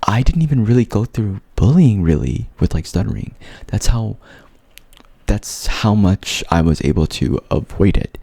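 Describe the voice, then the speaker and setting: A man's voice: lowish voice, very animated, normal speed, gravelly, warm tone, breathy edge, dry recording. A young man with a stutter, earnestly telling his own story to his viewers, repeating sounds and words as he goes. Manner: earnest, open. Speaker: vlogger. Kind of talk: personal storytelling to camera.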